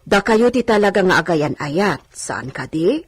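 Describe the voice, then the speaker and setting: An older village servant woman in a radio drama. Her husky voice is earnest and exclaiming, anxious and persistent as she coaxes. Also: on the low side, sing-song, normal speed, no echo. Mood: coaxing.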